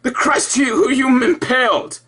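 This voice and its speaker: A man delivering an improvised sarcastic rant in an exasperated, mocking way, halting and repeating himself, with profanity. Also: very high-pitched, lively intonation, sharp tone, slightly rough. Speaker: video commentator.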